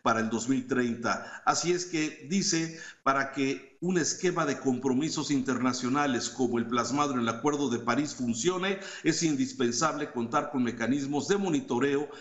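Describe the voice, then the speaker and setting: A middle-aged man reads and comments on newspaper columns, measured and with small hesitations, over a headset on a video call from a home study. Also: medium pitch, a bit bright, reverberant, muffled recording. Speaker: news commentator reviewing opinion columns. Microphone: headset mic on a video call.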